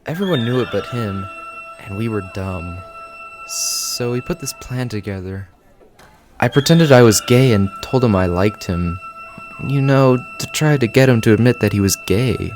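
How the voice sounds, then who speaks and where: An actor playing a remorseful teenage high school boy in an audio drama, earnest and halting in his delivery. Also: lively intonation, a little mellow, faint music behind, natural voice. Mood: regretful. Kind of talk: scripted audio drama acting.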